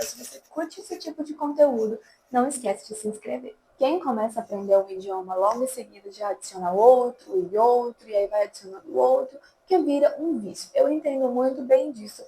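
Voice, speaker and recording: A woman's voice: a little high, a little hiss or hum, poor audio. A young woman vlogger giving casual, chatty language-study advice straight to her viewers. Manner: chatty, lively, addresses viewers as gente. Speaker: language-learning YouTuber. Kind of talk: casual talking-to-camera advice.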